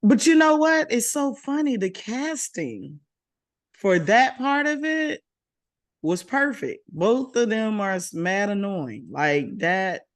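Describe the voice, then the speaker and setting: A woman with a deep voice co-hosts a casual podcast chat. She is animated and teasing, jumping in with exclamations. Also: slow. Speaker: podcast co-host.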